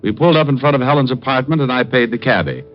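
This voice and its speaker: An actor playing a wisecracking private detective who narrates, dry and laconic, in a 1950s radio drama, on a muffled old recording with music. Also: male voice, mid-range voice, lively intonation, fast talker, lightly acted. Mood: narrating.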